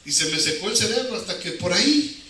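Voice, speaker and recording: A man's voice: high voice, expressive, fairly quick, pushed and bright. Male preacher, Mexican accent, colloquial and emphatic with repetition; distant, heavily reverberant sound. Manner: colloquial, rhetorical questions, repeats phrases for emphasis. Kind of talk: informal evangelical sermon. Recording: very echoey hall, distant, noisy.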